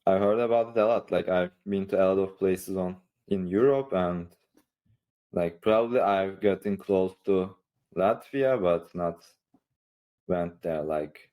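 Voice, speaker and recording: A man with a Turkish accent talking casually on a podcast in a halting, rambling way, leaning often on "like". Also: low voice, dark tone. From Istanbul, Turkey. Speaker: online creator and coach, podcast participant.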